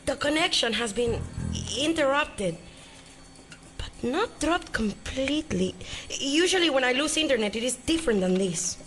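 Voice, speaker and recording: A woman's voice: sits high, lively intonation, normal speed, fairly bright tone, soft background music. An actress playing a puzzled, tech-savvy young Spanish-speaking woman in an audio drama.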